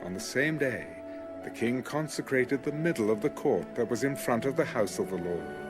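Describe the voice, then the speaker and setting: A man narrating scripture for an audio Bible in a measured, formal, scripted style. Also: medium pitch, animated. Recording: music under the voice. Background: music.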